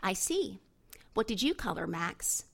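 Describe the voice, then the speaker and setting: Woman reading as a gentle, courteous lawyer. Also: medium pitch, very animated, vocal fry, full character voice. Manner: gentle, measured questioning. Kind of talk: audiobook character voice.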